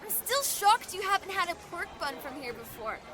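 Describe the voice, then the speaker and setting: Casting: sassy, chirpy young girl, very high-pitched, animated, audio drama. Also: moderate pace, faint music behind, some chatter behind, full character voice. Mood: eager, friendly. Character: fast-moving, cheeky, food-loving young girl.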